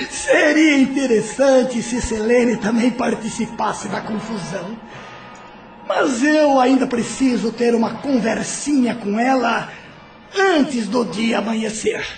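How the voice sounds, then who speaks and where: A woman's voice: a little high, slow pace. A woman playing a scheming, vengeful spirit chief in an audio drama, commanding and mocking, with a menacing manner. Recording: music under the voice.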